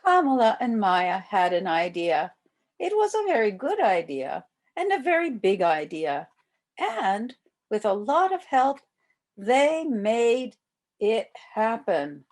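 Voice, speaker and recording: Slow, lively older woman reading aloud. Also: on the high side. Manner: slow, expressive delivery for young children. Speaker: volunteer story reader. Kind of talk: read-aloud children's storybook narration.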